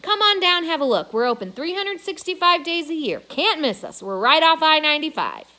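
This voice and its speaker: A woman's voice: high voice, big pitch swings, a little fast, bright, forceful tone, pitched above the performer's own voice, full character voice. A woman voicing a blustering, opportunistic male mall owner with brash salesman talk, in a children's novel read aloud. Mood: eager, boastful.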